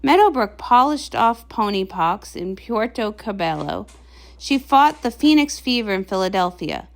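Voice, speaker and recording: Animated woman narrating a storybook. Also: medium pitch, unhurried, creaky. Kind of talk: expressive storybook narration of a comic.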